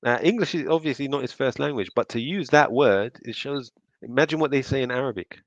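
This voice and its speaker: A man's voice: mid-range voice, a little fast, no room sound. A man hosting a reaction show, commenting on a call with mocking, sarcastic asides. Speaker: host reacting to a recorded call. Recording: slightly dull lo-fi sound.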